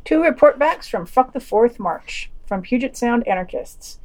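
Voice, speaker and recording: A woman's voice: fairly high pitch, lively intonation, moderate pace. Dry, wry woman reading podcast news. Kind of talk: scripted news digest with wry commentary and read-out quotes.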